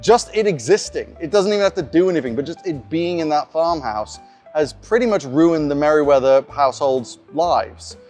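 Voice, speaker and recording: A man with a slightly high voice speaks conversationally, like a game master explaining his approach in a casual vlog. Soft guitar music plays behind his voice. His delivery is explanatory and informal. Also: animated, normal speed. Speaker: game master giving scenario tips.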